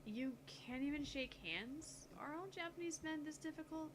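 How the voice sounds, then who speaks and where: A woman's voice: high voice, moderate pace, background noise, close to an everyday voice. A woman voicing a brusque, self-assured eighteen-year-old female genius scientist in a visual novel, curt and no-nonsense. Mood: dismissive. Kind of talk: visual novel read aloud in character.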